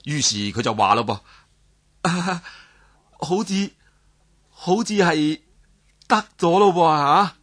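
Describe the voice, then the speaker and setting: A man with a Cantonese accent telling a martial-arts story in a lively, dramatic storyteller's manner, with sudden exclamations and voices for the characters. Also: a little high, slow pace, pauses often, husky.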